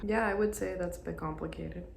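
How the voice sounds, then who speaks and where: A woman's voice: lowish voice, steady tone, brisk, vocal fry, echoey room, faint music behind. A woman hosting a reaction video, talking casually with candid, blunt asides.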